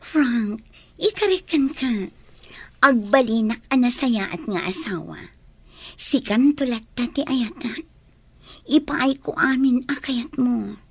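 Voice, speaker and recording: A woman's voice: a little high, takes it slowly, breathy edge, no room sound, exaggerated voice. An actress playing a lovestruck, insistent young woman pleading earnestly in a radio drama, with a Filipino accent.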